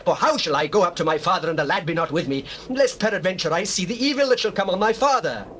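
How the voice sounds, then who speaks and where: An actor playing a pleading Hebrew brother in a dramatized scripture, formal and impassioned, on an old cassette recording. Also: male voice, sits high, lively intonation, quick pace, a bit bright. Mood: earnest pleading. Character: Judah, pleading Hebrew brother begging for the lad. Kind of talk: dramatized scripture, theatrical stage acting. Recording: noisy old cassette tape.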